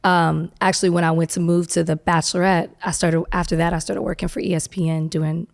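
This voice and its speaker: Brief: thirties woman, soft and soothing, a touch low, easy chatty guest. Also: little pitch movement, a little fast, vocal fry. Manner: chatty, laughs easily. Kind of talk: casual interview chat.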